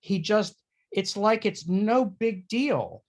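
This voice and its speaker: A man's voice: high voice, very animated, takes it slowly. A man talking casually as a guest in a panel discussion about his craft. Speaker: panel guest, accent-certified performer.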